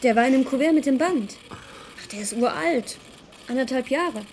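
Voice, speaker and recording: Radio play: female actor as dry, wry office assistant, fairly high voice, matter-of-fact. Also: moderate pace, darkish tone, a little hiss or hum, lightly acted. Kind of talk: naturalistic radio drama dialogue.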